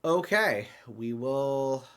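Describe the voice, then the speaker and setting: A man playing bewildered, apologetic travelers in an amateur audio drama, with a halting, stammering delivery. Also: mid-range voice, lively intonation, a bit bright, clear, resonant tone. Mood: nervous, polite. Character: bewildered, apologetic accidental travelers stranded in the void.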